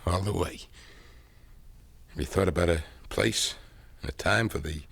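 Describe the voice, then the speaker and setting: An actor playing a nervous male accomplice in a murder plot, speaking in a hushed, uneasy way in a 1970s radio drama. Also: deep voice, a little slow, vocal fry, slightly rough, no echo, heavily acted. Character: nervous male co-conspirator eager for revenge.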